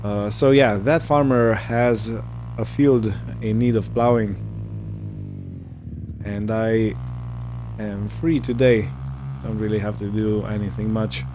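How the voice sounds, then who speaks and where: A man giving casual roleplay gameplay commentary in a hesitant, rambling, unhurried manner. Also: lowish voice, steady tone, noisy background. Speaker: gaming video host.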